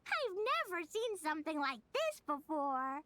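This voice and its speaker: Excitable squeaky witch kid. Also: a little slow, loud music behind the voice. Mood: excited. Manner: excitable, wide-eyed. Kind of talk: game voice acting.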